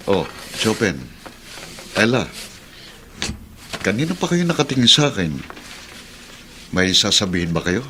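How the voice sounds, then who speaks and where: Mapagpasensyang ama-amahan, maliwanag ang boses. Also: male voice, fairly low pitch, sing-song, unhurried, long pauses, bright, forceful tone, slight background noise, heavily acted. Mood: gentle reassuring. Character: patient, protective middle-aged foster father and husband. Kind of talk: Filipino radio drama acting.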